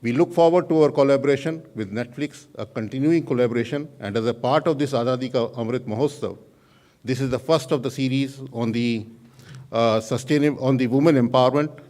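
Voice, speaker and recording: A man with an Indian accent speaks at a formal gathering in a mid-range voice. He delivers his welcome address haltingly, correcting himself as he goes, in the semi-formal manner of an official. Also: mid-range voice, darkish tone. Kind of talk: semi-formal public speech.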